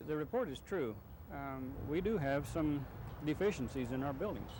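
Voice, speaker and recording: A man official giving an on-location interview in a hesitant, measured way. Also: on the high side, animated. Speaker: university fire marshal. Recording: loud outdoor traffic noise behind.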